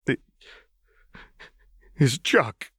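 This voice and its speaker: A man's voice: very slow, pushed and bright, no echo, clean studio sound. A man voicing a terrified, meek young male servant in an audiobook, stammering and whimpering.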